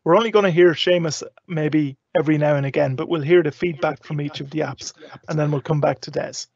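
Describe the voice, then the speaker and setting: A man's voice: fairly high pitch, steady tone, brisk, creaky, darkish tone, husky. An Irish man hosting an online live event in a conversational, affable, slightly rambling way. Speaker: host. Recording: dull, lo-fi online call audio.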